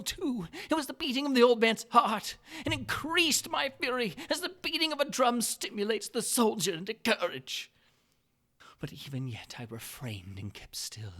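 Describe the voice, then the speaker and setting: Man, dramatic gothic storytelling, bright clear tone, hushed whispers, frantic outbursts, building intensity. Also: extremely high pitch. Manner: theatrical, swinging from hushed whispers to frantic shouting. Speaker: narrator. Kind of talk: dramatic reading of a gothic tale.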